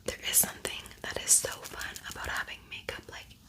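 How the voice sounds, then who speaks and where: A woman hosting an ASMR makeup tutorial, whispering softly in a casual, chatty, unhurried way.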